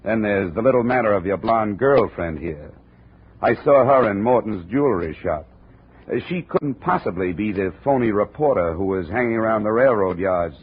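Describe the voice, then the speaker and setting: A deep, husky-voiced man playing a hardboiled investigator, speaking in a dry, clipped way. He narrates and acts in the lead role. The sound is a tinny old radio transcription. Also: expressive, moderate pace. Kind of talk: 1950s radio drama narration and acting.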